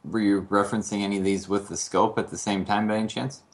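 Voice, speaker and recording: Male guest with a low, deep pitch, explaining and questioning conversationally. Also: fairly even intonation, fast. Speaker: guest, flight instructor.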